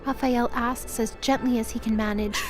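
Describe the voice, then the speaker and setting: High-pitched woman narrating dramatic fiction. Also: fairly even intonation, normal speed. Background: music.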